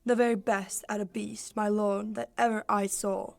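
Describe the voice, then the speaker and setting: A woman's voice: medium pitch, little pitch movement, normal speed, creaky, no echo, lightly acted. A young woman playing a witty courtier in a radio play of Shakespeare, teasing in formal banter.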